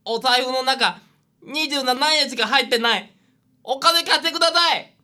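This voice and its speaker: A woman's voice: high-pitched, normal speed, sharp tone. A woman playing a vain, flighty, spoiled young male sidekick in a dramatic radio drama style. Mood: panicky.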